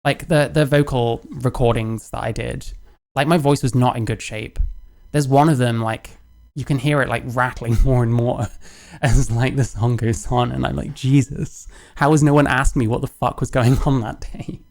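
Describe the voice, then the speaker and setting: A man's voice: mid-range voice, fairly even intonation, moderate pace, vocal fry, a little mellow. A man speaking casually and candidly, rambling and self-deprecating, laughing and swearing as he reacts to a clip. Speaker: youtuber reacting to another creator's video.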